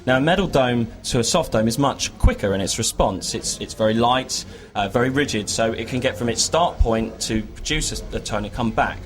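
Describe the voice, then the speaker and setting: A man's voice: medium pitch, normal speed, hardly any pauses. A man giving an informal, explanatory product presentation in an interview, with a hesitant and lightly joking manner.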